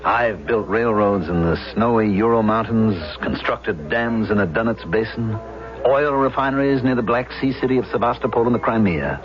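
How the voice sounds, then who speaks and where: An actor in a vintage radio drama playing a boastful, unstable construction engineer, speaking and narrating with theatrical intensity. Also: male voice, lowish voice, normal speed, lightly acted. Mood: suave, boastful. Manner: theatrical, emphatic, dramatic swings. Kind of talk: 1970s American radio drama acting with first-person narration. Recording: dull old radio recording, loud music.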